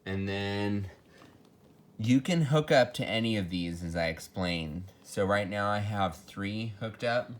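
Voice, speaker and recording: A man's voice: on the low side, a little slow. A man giving a casual, unscripted technical walkthrough in a matter-of-fact, explanatory way, as a hobbyist showing equipment. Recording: noticeable background noise with clicks.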